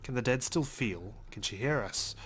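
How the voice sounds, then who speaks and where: A man's voice: medium pitch, expressive, normal speed, a bit bright, slight background noise. A man reading visual novel text aloud as dramatic first-person narration. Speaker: let's play host reading game text aloud.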